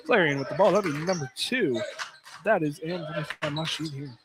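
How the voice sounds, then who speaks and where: A man's voice: fairly high pitch, fairly quick. A man calling live college basketball play-by-play in clipped phrases, naming players by number. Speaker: play-by-play announcer. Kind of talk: live basketball radio commentary. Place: indoor college field house, courtside. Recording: noisy live gymnasium broadcast.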